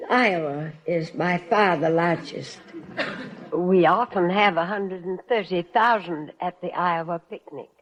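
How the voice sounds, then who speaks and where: A woman with a husky voice answering as a quiz contestant in a formal, measured, hesitant way. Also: on the low side, low-quality recording.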